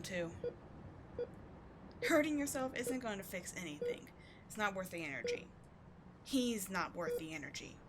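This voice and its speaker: A woman's voice: medium pitch, fairly bright tone, slight background noise. A woman playing a sardonic, commanding supervillain in a scripted audio roleplay, biting and contemptuous as she tends a captive sidekick. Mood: stern, exasperated.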